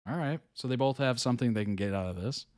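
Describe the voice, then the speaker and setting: A man's voice: mid-range voice, fast, a little mellow, no room sound. Amused man reading captions, dry sound. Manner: reads on-screen captions aloud, then reacts with amused asides; laughs often between remarks. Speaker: reaction video host. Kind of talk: casual live reaction commentary.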